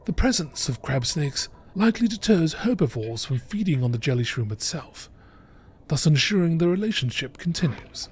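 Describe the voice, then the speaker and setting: A man giving a measured, informative scripted narration in the style of a nature documentary. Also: fairly high pitch, sing-song, breathy edge. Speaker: reader of video game text.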